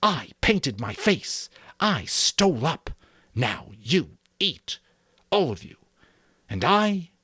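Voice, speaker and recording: A man voicing a proud, domineering boy who leads the hunters, boasting and shouting at the others in an audiobook reading. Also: on the high side, big pitch swings, slow, breathy edge, no room sound, clean studio sound, voice pushed up, exaggerated voice. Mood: furious. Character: furious boy hunting leader, proud, domineering.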